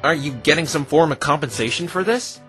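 A man's voice: a little high, fairly quick, over music, close to an everyday voice. A man playing a dry, sarcastic, easily exasperated young defense lawyer in a fan-dubbed visual novel. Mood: puzzled. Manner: dry, sardonic. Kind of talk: fan-dub visual novel character acting.

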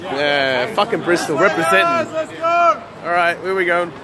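A man's voice: high-pitched, wildly swooping pitch, a little slow. A man vlogging on a busy city street at night, brash and joking, swearing a lot as he chats up strangers. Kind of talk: loud casual street vlog. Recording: noisy crowd around. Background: crowd chatter.

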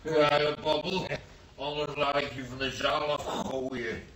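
An actor playing an old, easily agitated grandfather in a radio play, rambling and repeating himself haltingly. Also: fairly high pitch, fairly even intonation, a bit bright. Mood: agitated, weary. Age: older. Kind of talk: radio drama acting. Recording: roomy, distant, faintly noisy old radio recording.

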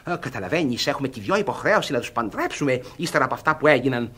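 An actor playing an agitated, self-satisfied, mocking brother in a classic farce, in a theatrical radio style with sarcastic, emphatic exclamations. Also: male voice, medium pitch, animated, bright, forceful tone, slightly rough. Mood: exasperated, urgent. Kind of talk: theatrical Greek radio comedy acting.

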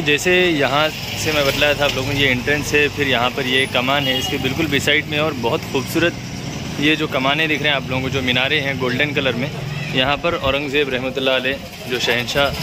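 A man's voice: medium pitch, background noise. A man hosting a farm visit video, asking questions and repeating the answers back as he films on a phone outdoors. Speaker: channel host touring a goat farm. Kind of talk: casual on-site interview and guided tour. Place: outdoor farm yard by a street.

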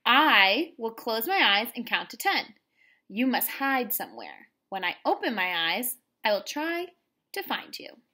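A woman's voice: fairly high pitch, sing-song, measured pace, bright, forceful tone, heavily acted. A woman voicing a bossy but caring female storybook shape in an emphatic, earnest, exclaiming way. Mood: firm.

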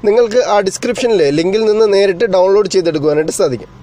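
A man's voice: high-pitched, expressive, racing, mellow. A man narrating an explanatory tech tutorial as its host, in a formal, wordy way that addresses viewers directly.

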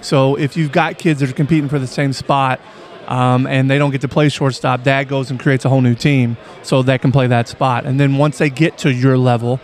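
A man hosting an interview, asking questions and giving short agreeing replies, recorded in a busy convention hall on a boom mic. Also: steady tone, brisk. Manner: brief agreeing backchannels. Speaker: podcast host. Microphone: studio mic on boom arm with headphones.

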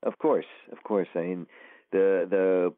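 Deep-voiced man on a tinny phone line. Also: fairly even intonation, dry recording. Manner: halting, many restarts, somber. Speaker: author guest. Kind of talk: casual interview talk.

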